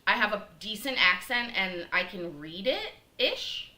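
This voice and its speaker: A woman's voice: medium pitch, big pitch swings, measured pace, sharp tone. A middle-aged woman hosting a casual cooking livestream from her home kitchen, chatting and rambling with lots of fillers as she talks to viewers by name. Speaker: livestream cooking show host. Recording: echoey kitchen, faint noise.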